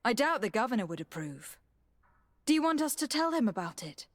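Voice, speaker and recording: A woman's voice: a little high, very animated, fairly quick, dry recording, studio quality. A woman playing a composed, assertive young noblewoman diplomat in a video game, speaking in a polite, formal and firm way. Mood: firm, commanding. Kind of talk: video game dialogue acting.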